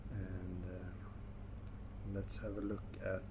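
A man in his thirties with a Swedish accent, talking casually to a phone camera in a garage, with many pauses and fillers. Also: deep voice, monotone, noisy background. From Sweden. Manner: halting, many fillers and self-corrections. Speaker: car hobbyist vlogger. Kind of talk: casual selfie vlog. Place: indoor garage. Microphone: phone held at arm's length.

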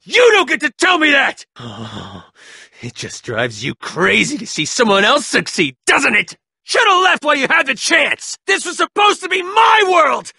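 A cocky, sneering young gang leader taunts his rivals with mocking laughs in a game. His voice swings widely in pitch and has a bright, sharp edge. The studio recording is dry and close. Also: huge pitch swings, measured pace, vocal fry, no room sound, full character voice. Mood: furious. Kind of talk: video game voice acting.

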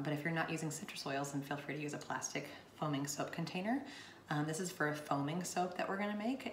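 A woman in her thirties, slightly hoarse from losing her voice, chatting through a home how-to demonstration at her bathroom counter. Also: deep voice. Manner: chatty, explains while demonstrating with hands. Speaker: home DIY vlogger. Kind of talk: casual how-to vlog. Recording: roomy home recording, faint hiss.

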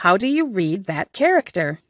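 A woman teaching English, saying each short everyday phrase several times, slowly and carefully for learners. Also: mid-range voice, sing-song, no room sound, studio quality. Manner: slow, careful phrase repetition. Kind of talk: repeating phrases for language learners.

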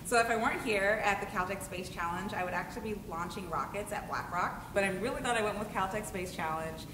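A young woman, a graduate student, introducing herself to the camera in an unhurried, enthusiastic way. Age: young adult. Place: indoor studio set. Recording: echoey room, distant mic, low rumble.

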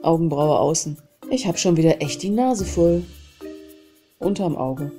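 A woman with a cold hosting a self-help channel, calmly guiding a tapping session and repeating short phrases between named tapping points. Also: deep voice, unhurried, soft background music. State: has a cold. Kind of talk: guided EFT tapping session.

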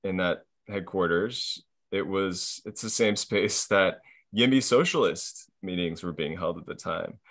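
Low-voiced man, rambling and sardonic. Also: unhurried, hardly any pauses, a bit bright. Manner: rambling, many fillers, sardonic. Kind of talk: casual conversation.

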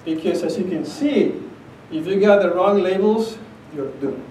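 A middle-aged Argentine-accented man speaks at a podium in a conference room, his voice set a little higher than most men's. He keeps it conversational and emphatic, checking in with the audience often. The sound is roomy and picked up from across the room. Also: unhurried, darkish tone, slight background noise. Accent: Argentine Spanish. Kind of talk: academic conference talk. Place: conference room with projector screen. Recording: echoey conference room, captured from a distance.

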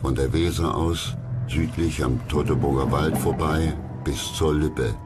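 A man reading scripted history documentary narration in a measured, grave manner. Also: rumbling low, fairly even intonation. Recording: loud music under narration.

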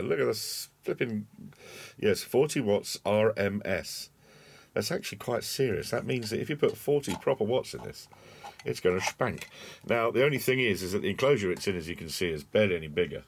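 A man's voice: low voice, slight background noise. A middle-aged British man giving a casual hands-on product review in a chatty, colloquial way, with wry asides. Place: small cluttered indoor desk workspace.

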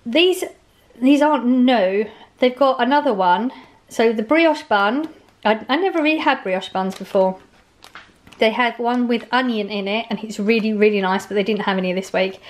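A woman's voice: a little high, normal speed. A woman in her forties chatting casually at home in her kitchen, rambling cheerfully about the bargains she bought. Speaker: vlogger. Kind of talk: casual grocery haul chat.

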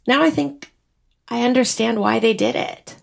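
An actress playing a wistful, teasing young bride in a naturalistic audio drama. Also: female voice, fairly high pitch, moderate pace, vocal fry. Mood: wistful frustration. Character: wistful, teasing young bride whose wedding was cancelled.

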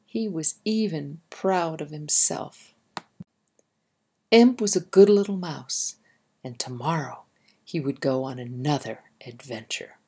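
A woman's voice: sits low, takes it slowly, dark tone, a little hiss or hum. A woman reading aloud to young children and guiding them in simple, instructive language, speaking directly to the listener. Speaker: storybook reader and drawing guide.

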